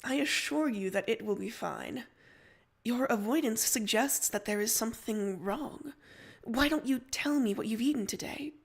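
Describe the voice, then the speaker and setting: A woman's voice: a little high, normal speed, creaky, breathy edge. A woman voicing a prim, probing teenage girl with a formal, insistent way of talking, in a story reading.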